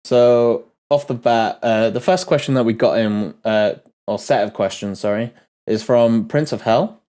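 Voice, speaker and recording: A man hosting a casual game developer Q&A, a bit ill and nasal, rambling with many pauses and restarts. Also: mid-range voice, dark tone. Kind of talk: casual dev talk Q&A.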